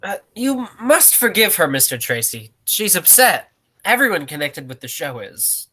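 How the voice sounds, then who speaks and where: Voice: medium pitch, big pitch swings, moderate pace, bright, forceful tone, breathy edge, full character voice. An actor playing a conciliatory older male circus veteran in a 1940s-style radio drama. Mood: placating.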